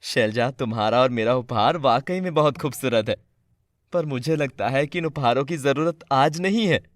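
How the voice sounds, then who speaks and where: An actor playing a gentle, loving young husband in a cartoon moral story, with a soft, earnest, sentimental delivery. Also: male voice, medium pitch, normal speed, dry recording, clean studio sound, close to an everyday voice. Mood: tender, reassuring.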